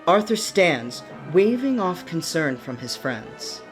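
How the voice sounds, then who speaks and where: A woman's voice: on the low side, big pitch swings, slow, music in the background. A woman narrating a scripted fantasy radio play with a dramatic storytelling manner.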